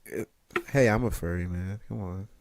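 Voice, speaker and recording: A young man hosting a casual interview, joking around, laughing, and loudly protesting in fun. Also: low-pitched, normal speed, crowd noise. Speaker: interviewer, channel host.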